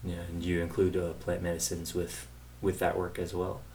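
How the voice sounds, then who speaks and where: A man's voice: low voice, completely flat delivery, moderate pace, dark tone. A man hosting a casual interview, easygoing and hesitant, with many fillers. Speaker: podcast host.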